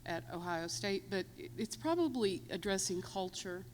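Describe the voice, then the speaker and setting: A woman panelist answering a question in a halting way with many restarts. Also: medium pitch, expressive, slight background noise, rough recording. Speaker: hospital panelist.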